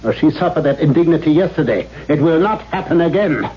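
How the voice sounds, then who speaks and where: A man's voice: fairly high pitch, animated, brisk, close to an everyday voice. An actor playing a stern, pompous and cruel banker father in a radio drama, with haughty, formal scorn. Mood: cold, mocking. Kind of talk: 1970s radio drama acting. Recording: dull lo-fi old radio.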